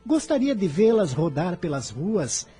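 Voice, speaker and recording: Earnest, mellow-voiced teenage boy. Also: high-pitched, sing-song, soft background music. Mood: earnest, righteous. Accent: Brazilian. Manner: measured, formal, earnest declamation. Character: earnest serene fifteen-year-old boy, devout and articulate. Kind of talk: radio drama acting.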